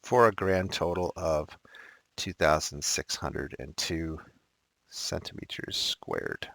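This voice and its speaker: A man teaching a recorded math lesson, thinking aloud and correcting himself as he works problems, his voice slightly raspy. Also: a bass voice, big pitch swings, slow, a bit bright, no room sound.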